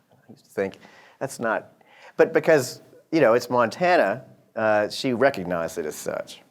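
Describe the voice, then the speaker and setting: A middle-aged man from Georgia with a slight Southern accent, giving a lecture with many pauses and fillers. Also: on the low side. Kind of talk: lecture to an audience.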